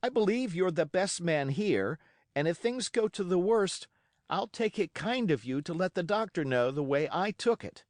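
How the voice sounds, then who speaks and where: A man voicing a bold young cabin boy who speaks in an excited, defiant rush. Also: medium pitch, measured pace, few pauses, no echo, clean studio sound, pitched above the performer's own voice. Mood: earnest. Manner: breathless, rising, defiant pleading.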